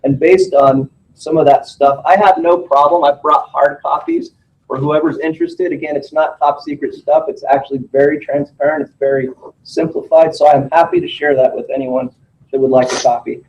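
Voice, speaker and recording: A man's voice: a little high, steady tone, normal speed, darkish tone. A man at a public meeting, speaking in a conciliatory way and repeating his points. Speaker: agency representative at a public meeting. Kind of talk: defensive meeting discussion. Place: large conference room. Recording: distant, muffled room recording.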